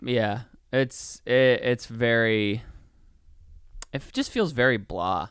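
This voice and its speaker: A man chats casually as a podcast co-host reviewing films. His voice is clear and smooth at an ordinary pitch, recorded dry and close. He talks in loose slang with swearing and slips into little mock quotes. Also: takes it slowly, fairly bright tone, no room sound. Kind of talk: casual movie review chat.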